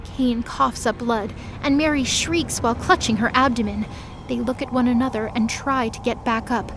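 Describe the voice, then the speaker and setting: High-voiced woman narrating a drama. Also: steady tone, normal speed, background noise.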